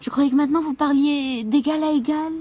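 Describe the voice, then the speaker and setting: An actress playing a sceptical, unimpressed companion in a French radio mystery, with a dry, colloquial, challenging way of talking. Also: female voice, high-pitched, fairly even intonation, fairly quick, warm tone, close to an everyday voice. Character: sceptical, unimpressed female companion, drily needling.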